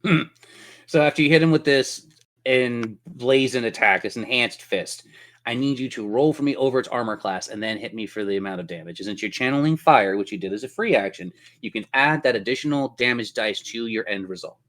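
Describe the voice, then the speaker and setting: A man's voice: medium pitch, fairly quick, a bit bright. A man running a tabletop role-playing game as game master, narrating with playful, theatrical turns of phrase.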